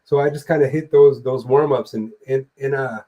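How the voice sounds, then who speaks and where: A young man hosting a live streamed interview, talking in a relaxed, rambling way with loose fillers and the odd swear word. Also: mid-range voice, normal speed, muffled recording, background noise. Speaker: podcast host and musician. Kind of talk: casual interview chat. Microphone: remote streaming call mic.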